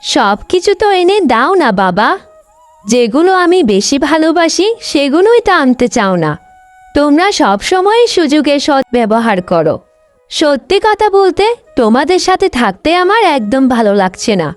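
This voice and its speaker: একজন মহিলা কার্টুন গল্পে অহংকারী, স্বার্থপর তরুণী বড় বোনের চরিত্রে কণ্ঠ দিচ্ছেন, কথায় বকাঝকা আর অভিযোগের সুর।. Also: female voice, high voice, big pitch swings, normal speed, no echo, soft background music. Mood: irritated, sulky. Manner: scolding, complaining. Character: vain, selfish, sharp-tongued young elder sister. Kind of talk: Bengali cartoon story voice acting.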